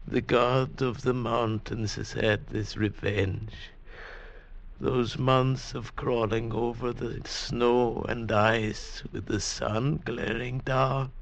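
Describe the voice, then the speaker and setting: An actor playing a weary, awestruck mountain wanderer gone blind, narrating slowly and dramatically in a 1940s radio drama. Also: male voice, medium pitch, creaky. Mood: anguished. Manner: slow, rapturous, dramatic narrating. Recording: muffled vintage radio recording, music behind.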